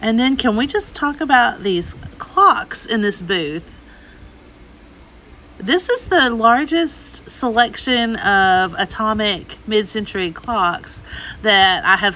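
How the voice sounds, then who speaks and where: A middle-aged woman with a bright, forward voice at a medium pitch. She talks casually and gushes over things as she browses, recorded on a phone indoors with faint store noise behind her. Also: animated, takes it slowly, rough recording. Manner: chatty, gushing over items. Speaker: host, antiques reseller. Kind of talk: casual shopping vlog commentary. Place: inside an antique mall.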